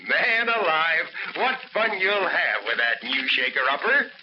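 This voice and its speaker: Man as an excitable vintage radio announcer pitching to kids; bouncy voice, lo-fi hiss. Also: unhurried. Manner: excited, gushing, talks to kids. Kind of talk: 1940s radio ad read. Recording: noisy dull old radio recording.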